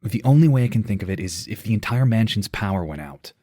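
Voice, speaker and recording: A man's voice: fairly low pitch, lively intonation, quick pace, dark tone. A man playing an earnest, weary superhero team leader in an audio drama. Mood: worried.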